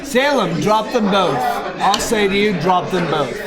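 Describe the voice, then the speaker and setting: A man's voice: high voice, expressive, unhurried. A man playing a live tabletop roleplaying game, giving orders in an exasperated, commanding way and repeating himself. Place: large indoor convention hall, live event. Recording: very loud crowd in large hall.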